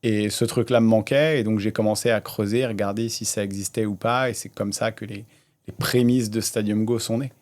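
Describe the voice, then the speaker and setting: Low, flat-voiced man in his thirties. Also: normal speed. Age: 30s. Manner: relaxed, many hesitations, storytelling. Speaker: startup founder, guest. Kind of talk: casual interview talk.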